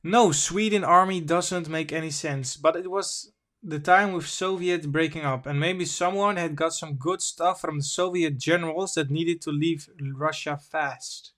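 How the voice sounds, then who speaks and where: A young man hosts a casual livestream in English, which is not his first language. His voice sits a little high, and his delivery is halting, with restarts and slow, careful reading. He speaks into a desk microphone at his computer. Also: fairly bright tone. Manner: halting, self-correcting, reads slowly and stumbles. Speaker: livestream host. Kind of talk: casual livestream chat, reading articles aloud.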